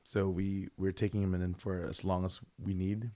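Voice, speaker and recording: A man's voice: low voice, level tone, normal speed, mellow. A man hosting a livestream, chatting casually and joking with a guest, his voice a bit tired from lost sleep. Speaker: streamer host.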